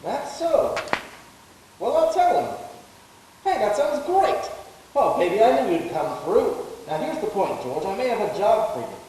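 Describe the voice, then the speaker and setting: A man acting as a brash young wheeler-dealer, hearty and boisterous. The high voice swings widely in a radio drama, heard from a distance in a ringing hall. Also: big pitch swings, a little slow, warm tone. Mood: brash, confident. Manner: boisterous, backslapping. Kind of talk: live 1940s-style radio play acting. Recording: echoey hall, distant, faint hiss.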